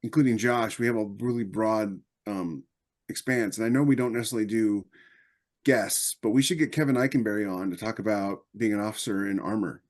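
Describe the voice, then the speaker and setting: A man's voice: on the low side, fairly even intonation, fairly quick. An American man co-hosting a podcast, talking in a casual, anecdotal way. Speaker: podcast co-host, army veteran.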